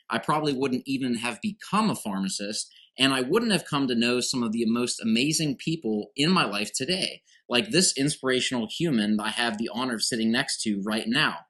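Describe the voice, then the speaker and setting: A man hosting a podcast interview, with a bright, forceful voice. He is emphatic and talks straight to his listeners, building up each point. His pitch sits in the middle range for a man. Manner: energetic, emphatic, addresses listeners directly. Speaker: podcast host.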